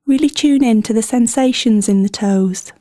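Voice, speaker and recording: A woman leading a guided meditation in a gentle, soothing manner, with scripted instructions and unhurried pauses between phrases. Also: on the high side, dry recording, studio quality.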